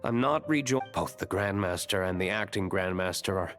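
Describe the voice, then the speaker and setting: Reserved, stern young tavern keeper. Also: male voice, sits low, animated, moderate pace, a bit bright, soft background music. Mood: firm, brooding. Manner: curt, guarded, formal. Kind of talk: anime game voice acting.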